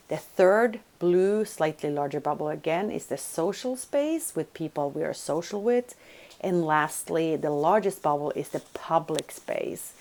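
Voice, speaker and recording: Lady teaching a college class on video, low creaky voice, keeps asking "right?". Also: darkish tone. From Sweden. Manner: explanatory, checks in with "right?". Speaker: business communication lecturer. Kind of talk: recorded college lecture.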